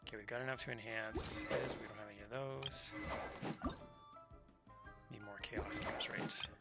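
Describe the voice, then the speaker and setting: A man streaming a video game, chatting casually and relaxed with his viewers. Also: on the low side, moderate pace, creaky. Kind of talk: casual gameplay commentary. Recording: loud game music behind.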